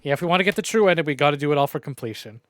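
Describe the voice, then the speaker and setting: A man's voice: on the high side, expressive, rapid-fire, a bit bright, a little hiss or hum. A man livestreaming a game in a casual, chatty, informal way, talking with his viewers. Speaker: streamer hosting a visual novel playthrough. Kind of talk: casual livestream commentary mixed with reading game dialogue aloud.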